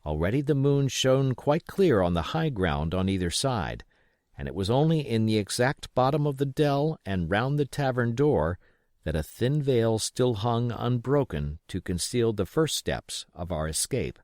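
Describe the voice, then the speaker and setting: A man reading an adventure novel aloud as expressive scripted narration. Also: medium pitch, unhurried, no room sound, studio quality. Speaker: audiobook reader.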